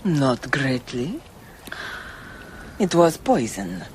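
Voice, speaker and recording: A woman playing a world-weary, haughty villainess in a radio drama, brooding and fatalistic in a theatrical, deliberate manner. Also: extremely low pitch, very animated, a little mellow, slight background noise, heavily acted, rough recording. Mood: cold, weary.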